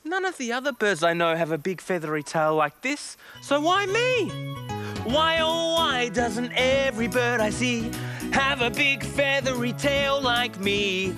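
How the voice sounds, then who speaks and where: A bouncy, fretful young peacock, which a woman acts out frets in a sing-song voice that swoops high and low. The storybook read sounds earnest and childlike, with a soft music bed underneath. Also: very animated, a little slow. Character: shy young male peacock worried about his tail.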